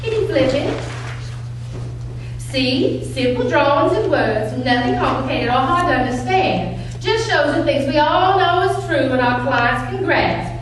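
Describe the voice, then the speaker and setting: An actress playing a brisk, folksy, condescending county official in a staged play reading. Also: female voice, high voice, lively intonation. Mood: brisk, patronizing. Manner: chatty, bossy, folksy, patronizing. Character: brisk, folksy, condescending 1960s county eugenics coordinator woman. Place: large room at a live stage reading. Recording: echoey hall from far off, faint hum.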